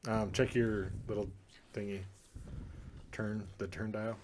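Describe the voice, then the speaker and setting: A man's voice: on the low side, flat delivery, takes it slowly, a little mellow, a little hiss or hum. A man co-hosting a podcast, joking playfully in casual banter. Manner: playful, jokey. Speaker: podcast co-host.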